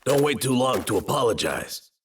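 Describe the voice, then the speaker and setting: A man playing a calm, patient advisor in an audio drama, measured and questioning. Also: mid-range voice, noisy background, natural voice. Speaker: voice actor. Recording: music under the voice.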